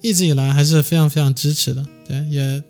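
A man's voice: a little high, fairly even intonation, quick pace, a little mellow, faint music behind. A man speaking casually as a musician being interviewed, with a modest, easygoing manner.

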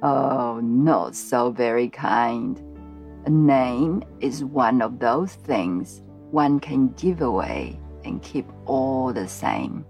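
A woman voicing a very old, calm and mysterious great-great-grandmother, speaking slowly and low. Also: soft background music, full character voice. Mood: serene. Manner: slow, low, measured.

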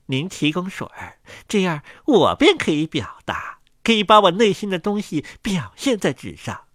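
A man's voice: sits high, wildly swooping pitch, normal speed, fairly bright tone, no room sound, clean studio sound, pitched above the performer's own voice, exaggerated voice. A man voicing a conceited, sneering quill pen in a storybook reading, with a mocking, superior manner.

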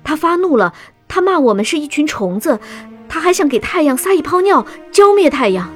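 A woman's voice: high voice, sing-song, fairly quick, soft background music. An actress playing a polite, earnest, determined young woman in a Chinese science-fiction radio drama. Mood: urgent, grave.

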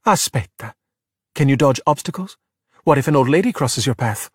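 A man's voice: mid-range voice, animated, normal speed, breathy edge, no echo, studio quality. A man voicing a bossy, exasperated, spirited young Italian girl who drops Italian words into emphatic talk in a children's audiobook. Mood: brisk, commanding. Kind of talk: audiobook character voice in a children's story.

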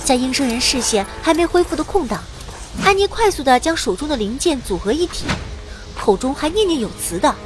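A young girl magician tells a fantasy radio-play adventure. The voice is high and lively, with big melodic swings. The telling is theatrical, playful and a little vain, with music underneath. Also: brisk. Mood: playful, cheeky. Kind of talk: first-person narration in fantasy audio drama.

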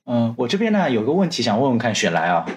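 A man's voice: medium pitch, sing-song, fast talker. A man speaking in a conversational way as he puts questions to a guest.

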